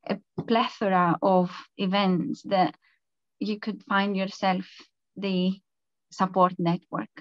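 A woman's voice: medium pitch, flat delivery, slow pace, dry recording. A woman with a Greek accent speaks earnestly and conversationally as an online panelist. The sound is dry and close, with a dull, muffled video-call quality. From Greece. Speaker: panelist, entrepreneur.

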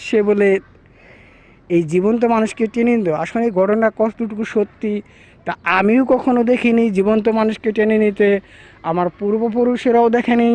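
A man narrates village folklore to viewers in a deliberate, repetitive, formal presenter's manner. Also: high voice, a little mellow. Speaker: storyteller presenter.

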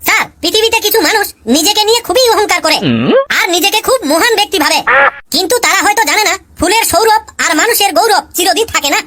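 A woman's voice: extremely high pitch, fast, background noise. A woman voicing a cheeky married male pupil in a cartoon, telling joke stories about his wife to the teacher. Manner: chatty storytelling, punchline delivery. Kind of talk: cartoon comedy voice acting.

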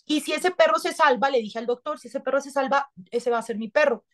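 A woman's voice: sits high, a little fast. A woman, an actress and TV host chatting casually in an interview on a video call, gesturing a lot as she talks. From Colombia. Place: small indoor room. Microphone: webcam on a video call.

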